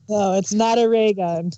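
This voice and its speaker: Slow-talking, laid-back woman co-host. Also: on the high side, dry recording, highs cut off. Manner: slow, laid-back, brief agreeing replies with soft laughs. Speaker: podcast co-host reviewing an audio drama. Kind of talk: casual review chat.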